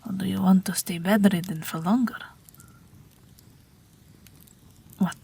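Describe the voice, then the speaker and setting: A woman's voice: medium pitch, fairly even intonation. A woman voicing an ageless Russian night witch pilot, wry, tender and weary, in an intimate, whispery audio roleplay. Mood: dry, coaxing. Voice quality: breathy, whispery. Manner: gentle, dryly wry, unhurried.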